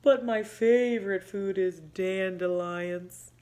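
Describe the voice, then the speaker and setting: A woman voicing a slow, plodding male box turtle in a storybook, a bit complaining. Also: mid-range voice, warm tone, close to an everyday voice.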